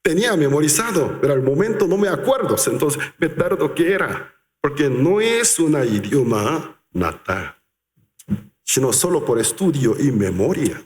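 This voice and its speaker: A male pastor preaching a sermon, acting out quoted voices and slowing down to stress key words. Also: a little high. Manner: animated, acts out quotes, slows for emphasis. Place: large reverberant church hall. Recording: echoey church hall.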